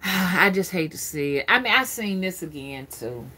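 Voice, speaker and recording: Husky, rambling woman in her fifties. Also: on the low side, lively intonation, normal speed, sharp tone, low-quality recording. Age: 50s. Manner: rambling, conversational, stammers and repeats words. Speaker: spiritual reader streaming live. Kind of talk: casual live-stream talk.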